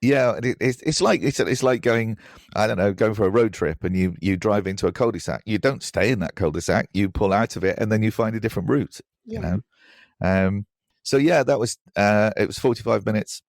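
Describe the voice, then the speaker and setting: Man, deep voice, dry close sound, thoughtful, hesitant, reflective podcast guest. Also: fairly quick, creaky, dry recording. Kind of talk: reflective interview conversation, personal anecdotes.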